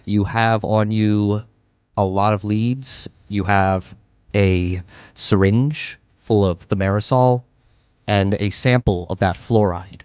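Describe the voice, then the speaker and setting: A man running a tabletop horror game, narrating scenes and rulings in measured, deliberate phrases. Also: fairly low pitch, fairly even intonation, darkish tone, smooth, dry recording, dull audio. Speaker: game master.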